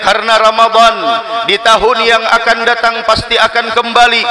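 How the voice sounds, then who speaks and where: A man's voice: very high-pitched, monotone, moderate pace, crowd noise. A middle-aged man preaching a Friday sermon from a mosque pulpit into a stand mic, fervent and declamatory, with chanted Arabic phrases.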